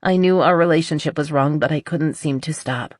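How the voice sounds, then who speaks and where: An audiobook narrator voicing a nervous, earnest middle-aged woman confessing, with a halting, shaky delivery. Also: medium pitch, no room sound, clean studio sound, close to an everyday voice. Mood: regretful.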